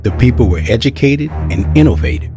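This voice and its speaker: A man's voice: mid-range voice, huge pitch swings, a little fast, over music. A man narrating a story with a wry, moralizing manner.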